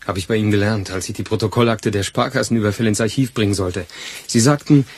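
An actor playing an eager, loyal police assistant in a crime radio play, arguing colloquially. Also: male voice, lowish voice, quick pace, a little mellow, breathy edge. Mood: loyal, pleading. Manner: colloquial, argumentative.

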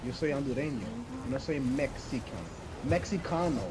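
A man's voice: medium pitch, expressive, normal speed, a crowd in the background. A man bantering playfully in game voice chat, mixing Spanish and English as he teases. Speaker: gamer in voice chat.

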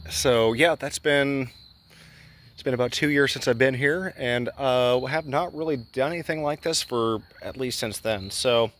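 A man's voice: mid-range voice, a little slow, a bit bright, slight background noise. Casual vlog host, man in his 30s, mid-range voice, filler-heavy and joking, handheld camera close up. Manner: rambling, self-deprecating, filler-heavy. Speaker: channel host. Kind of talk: casual vlog walk-and-talk. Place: abandoned building halls and outdoor grounds. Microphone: handheld camera held close, low angle.

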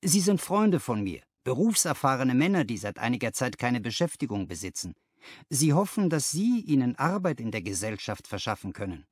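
Man playing brisk, eager young clerk, animated pitch. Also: no echo. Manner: lively, helpful, emphatic.

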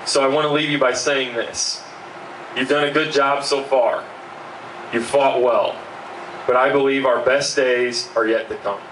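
A man's voice: mid-range voice, a little slow. A young man, giving an earnest keynote speech from notes at an outdoor benefit, speaking into a handheld mic. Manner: earnest, steady, fillers between points. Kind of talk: reading a prepared speech. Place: outdoor backyard party. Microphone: handheld mic, recorded by far camera. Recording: distant roomy outdoor sound, faint hum.

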